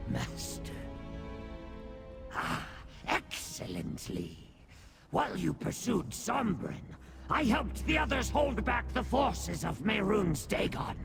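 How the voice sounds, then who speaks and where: A small, cursed, whiskered beast from a fantasy game, fiercely loyal and hungry for glory. The voice is heavily creaky and swoops wildly through grand, pompous pronouncements at a slow, savoring pace. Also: male voice, high-pitched, full character voice. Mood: exultant, reverent. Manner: theatrical, pompous, archaic formal diction. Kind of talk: video game character acting.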